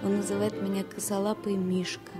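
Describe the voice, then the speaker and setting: An actress plays a young stewardess, anxious and deeply in love. Her mid-pitched voice is earnest and halting. Music runs behind her in an old radio drama. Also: little pitch movement, close to an everyday voice. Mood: anxious, lovestruck. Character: lovestruck anxious young stewardess, small, stubbornly devoted. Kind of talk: radio play inner monologue. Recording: music bed behind 1970 radio play.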